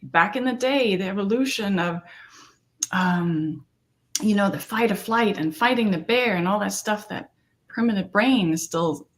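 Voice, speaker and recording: A woman's voice: medium pitch, measured pace. A middle-aged woman from Denmark, a therapist and coach speaking earnestly in an interview and often asking "right?". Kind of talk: conversational interview.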